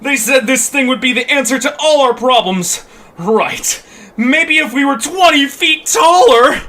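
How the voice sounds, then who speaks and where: A sour, stumpy little troll for an audio drama. The voice is high and forceful, bellowing demands and whining with impatience at a slow, heavy pace. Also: animated, exaggerated voice. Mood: grumpy, indignant. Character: short stumpy pizza-obsessed troll, impatient, sour and loud. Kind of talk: amateur audio drama acting.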